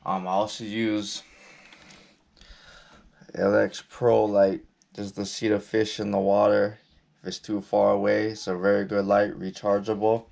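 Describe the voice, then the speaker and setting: A young man with a low, gravelly voice talks through his fishing gear at an easy, unhurried pace. His delivery stays flat and laid-back, full of ums and restarts. He sounds like he is recorded on an action camera in a cluttered indoor spot. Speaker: fishing vlogger. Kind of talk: casual gear walkthrough.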